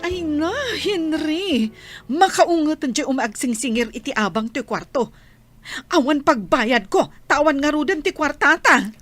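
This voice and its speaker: A woman's voice: high voice, lively intonation, measured pace, a bit bright, soft background music. A woman playing a nagging, fed-up wife with an Ilocano accent in a radio drama, scolding and sarcastic. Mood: irritated scolding. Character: nagging, fed-up wife short of rent money.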